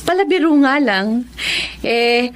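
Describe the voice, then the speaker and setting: A woman's voice: on the high side, expressive, slow pace. A woman playing a chatty, reassuring saleslady coworker in a radio drama, with a Filipino accent. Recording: music under the voice.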